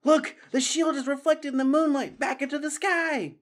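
A man doing a squeaky young mouse hero. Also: big pitch swings, normal speed. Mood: excited. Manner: squeaky and eager. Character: young male mouse hero, eager and earnest.

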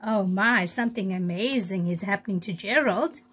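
A woman's voice: medium pitch, normal speed, a bit bright, breathy edge. A woman preschool teacher reading a picture book aloud expressively, with simple asides for young children.

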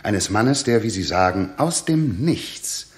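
A middle-aged king in a sixties radio play. His lowish voice moves with lively intonation. He is stately and commanding, yet troubled. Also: slow. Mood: worried, scheming. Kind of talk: 1960s German radio drama acting.

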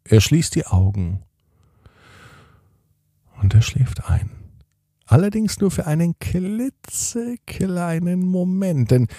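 A man hosting and narrating a children's bedtime story in an expressive manner, speaking directly to young listeners. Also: medium pitch, creaky, slightly rough, no echo, clean studio sound. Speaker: podcast host and storyteller.

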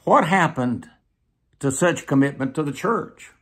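An American man in his seventies preaching to camera in an emphatic, deliberate way, repeating phrases for weight. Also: on the high side, expressive. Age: 70s. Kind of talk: sermon delivered to camera. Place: small plain room indoors.

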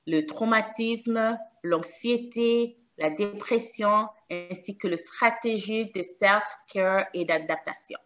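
A woman's voice: mid-range voice, a bit bright. A woman from Congo, a mother of three, hosting a panel over a video call, speaking with many hesitant fillers. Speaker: panel host. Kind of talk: hesitant moderated panel talk.

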